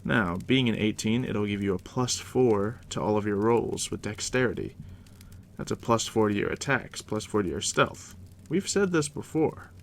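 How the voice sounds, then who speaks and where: A man doing a soft, deep-whispered ASMR roleplay as a game master, unhurried and reassuring, speaking directly to the listener up close. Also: animated, gravelly, a little hiss or hum. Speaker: game master guiding a new player.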